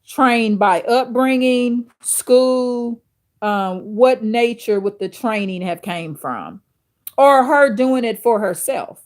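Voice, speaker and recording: A woman with a deep, authoritative voice hosting a livestream, reading viewer chat aloud and answering slowly with many fillers and restarts. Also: lively intonation. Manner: addresses viewers directly. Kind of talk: casual chat with live viewers.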